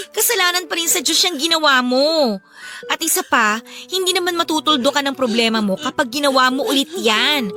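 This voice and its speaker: An actress with a Filipino accent playing a concerned, preachy young best friend in a radio drama, earnest and emphatic, over music. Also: female voice, high voice, bright, forceful tone.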